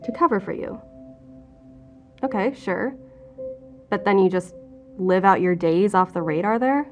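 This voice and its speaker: A woman playing a guarded, determined federal investigator in an audio drama, with a dry, measured, probing manner. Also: medium pitch, lo-fi sound, soft background music, close to an everyday voice. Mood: probing, reflective.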